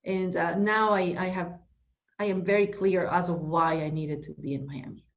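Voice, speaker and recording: A woman's voice: fairly low pitch, steady tone, normal speed. A middle-aged woman from Ecuador, talking as a podcast guest in a passionate, emphatic way, full of restarts and "you know"s. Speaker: podcast guest, real estate broker. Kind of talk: conversational interview.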